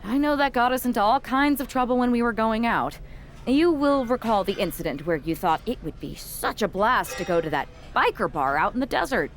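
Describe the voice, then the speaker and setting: A woman's voice: on the high side, lively intonation, a little fast. A woman playing a sceptical, protective female wrestler in a video game cutscene, speaking with wry candour.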